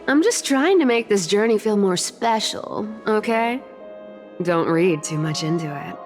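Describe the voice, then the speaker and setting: Woman as a lively, teasing young trickster demigoddess. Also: mid-range voice, unhurried, over music, natural voice. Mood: playful teasing. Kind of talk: video game voice acting.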